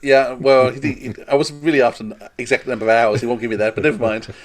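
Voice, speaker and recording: A man's voice: mid-range voice, flat delivery, quick pace. A man co-hosting a podcast, putting questions to a guest in a casual, conversational way. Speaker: podcast co-host, interviewer. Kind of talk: casual interview chat.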